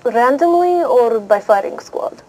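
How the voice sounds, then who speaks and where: A woman acts out a haughty, mysterious female spy from a pulp-fiction spoof. Her voice sits fairly high, with a deadpan, imperious delivery that takes offence easily. Some noise runs behind her. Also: measured pace, rough recording. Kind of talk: scripted mock interview in pulp-fiction style. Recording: clear background noise.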